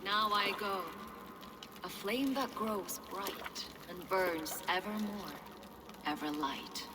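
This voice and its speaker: An actress playing a fanatical abbess, grandiose, solemn and commanding, in a video game drama. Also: female voice, medium pitch, slow, lightly acted. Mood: dying, fervent. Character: fanatical abbess, zealous leader of a secret order. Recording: long reverb, loud background noise.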